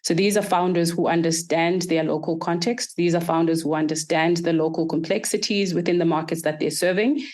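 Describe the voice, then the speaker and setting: A woman from Zimbabwe, answering podcast interview questions in a measured, structured way. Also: fairly low pitch, steady tone, darkish tone. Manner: measured, structured, explains in lists. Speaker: guest, startup accelerator executive.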